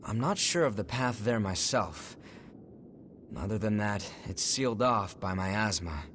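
An actor playing a calm, brainy young male leader who speaks in a measured, courteous way in a video game cutscene. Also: on the low side, slight background noise. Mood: serious, thoughtful. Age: young adult. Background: background music.

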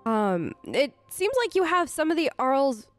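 Lively high-voiced woman gamer. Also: a little slow, soft background music. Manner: chatty, informal. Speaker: let's player. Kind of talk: role-playing game playthrough, casual in-character dialogue.

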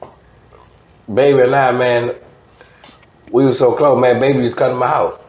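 A man's voice: medium pitch, level tone, normal speed, slight background noise. A middle-aged man with a Louisiana accent chatting casually as an interview guest, slangy and repeating his words, in a dull, roomy studio recording. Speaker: guest, veteran radio DJ.

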